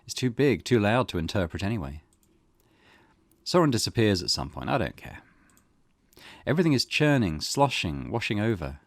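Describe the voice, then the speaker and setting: A man's voice: fairly low pitch, very animated, moderate pace, long pauses, dry recording, clean studio sound. A man hosting a let's play, reading visual novel text aloud expressively with casual comments. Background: loud game music.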